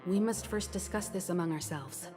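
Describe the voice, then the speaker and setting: An actress playing a calm, shrewd, courteous leader in a video game, speaking in a measured, diplomatic and guarded way. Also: female voice, on the low side, music in the background, lightly acted. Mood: calm, wary. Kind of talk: scripted game dialogue.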